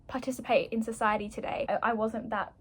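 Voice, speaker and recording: A young woman in her early twenties talks straight to the camera while she does her makeup. Her voice sits a touch high for a woman, and she rambles in a chatty, self-mocking way, filling her sentences with "like". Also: fairly even intonation, a little fast, breathy edge. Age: young adult. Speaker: vlogger. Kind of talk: casual chat while doing makeup.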